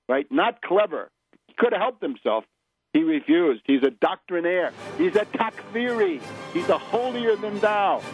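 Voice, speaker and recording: A male radio host giving unscripted political commentary in an emphatic, opinionated manner, stressing his phrases deliberately. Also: a little high, low-quality recording.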